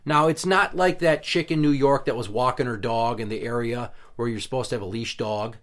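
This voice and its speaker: A man's voice: medium pitch, brisk, fairly bright tone. Blunt, profane male podcast host. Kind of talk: casual podcast chat.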